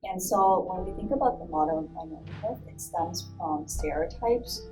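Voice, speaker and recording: A woman speaking calmly and explaining in a personal interview. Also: on the low side, normal speed, faint music behind, poor audio.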